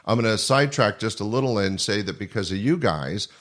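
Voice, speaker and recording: Low-voiced older American man hosting. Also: lively intonation, a little fast, fairly bright tone. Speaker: podcast host. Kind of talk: casual reminiscing interview.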